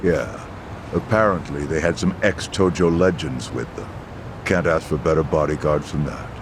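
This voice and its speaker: An actor playing a wary, streetwise underworld informant with casual confidence in a video game scene. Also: male voice, a bass voice, moderate pace, noisy background. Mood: wary, matter-of-fact. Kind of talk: video game dub acting.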